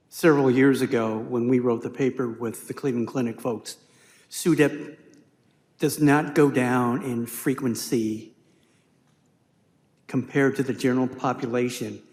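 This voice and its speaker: A man who is a physician on an expert panel, answering audience questions in a measured, explanatory way. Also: medium pitch, a little mellow. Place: conference hall. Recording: echoey hall reverb.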